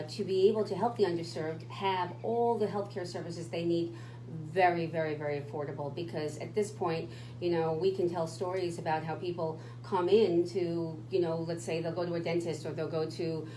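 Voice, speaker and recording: Woman, radio co-host, emphatic and motivational, mellow tone, faint hum. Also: on the low side, roomy sound, poor audio. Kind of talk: casual radio talk.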